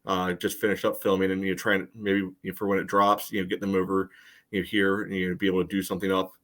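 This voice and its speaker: A man co-hosting a sports podcast, giving casual commentary in a halting, filler-heavy way. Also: sits low, completely flat delivery, fairly bright tone. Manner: halting, peppered with "you know". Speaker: podcast co-host.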